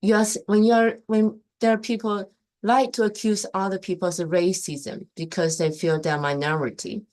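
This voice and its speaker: A woman's voice: mid-range voice, fairly even intonation, normal speed, darkish tone. A young woman who grew up in Taiwan, hosting a casual live stream and giving her opinions emphatically in loose English with many restarts.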